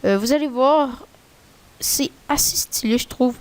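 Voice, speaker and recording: A woman with a Québécois French accent, rambling casually through a screen-recorded software tutorial in a chatty, slangy way. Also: sits high, unhurried. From Quebec. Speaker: tutorial maker.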